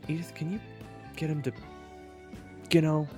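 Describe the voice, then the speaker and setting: A man's voice: fairly high pitch, steady tone, slow pace, mellow, soft background music. A man playing an exasperated, sarcastic party leader in a fantasy audio drama, speaking casually. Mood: dry, sarcastic. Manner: casual, incredulous. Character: exasperated, sarcastic male adventurer leading a party. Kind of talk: fantasy audio roleplay acting.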